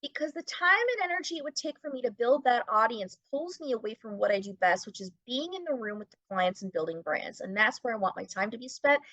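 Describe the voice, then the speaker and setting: A woman's voice: fairly high pitch, lively intonation, quick pace, hardly any pauses, a bit bright, no room sound, lo-fi sound. A middle-aged woman talking as a podcast guest, making her points emphatically and often asking and answering her own questions. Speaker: podcast guest, brand-building business owner and teacher. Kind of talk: conversational podcast interview.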